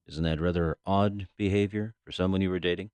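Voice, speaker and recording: An actress playing a stern, businesslike FBI agent in an audio drama, questioning in a formal, clipped manner. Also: female voice, rumbling low, steady tone, fairly quick, a little mellow, clean tone, no echo. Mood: probing, official.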